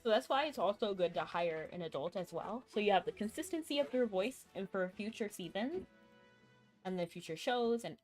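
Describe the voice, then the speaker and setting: A woman streaming a video game, chatting casually and thinking out loud as she plays, with a chuckle here and there. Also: medium pitch, lively intonation, moderate pace. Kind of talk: casual live-stream chat while gaming. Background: faint game music.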